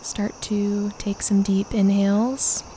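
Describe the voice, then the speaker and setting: A woman leading a guided meditation, calmly and gently instructing with unhurried pauses. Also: medium pitch, slight background noise.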